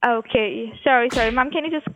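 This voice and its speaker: A woman's voice: fairly high pitch, quick pace, fairly bright tone, a little hiss or hum. A woman calling over the phone, working through sales questions in a polite, patient, hesitant way. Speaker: telephone scam caller. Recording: tinny narrowband phone line.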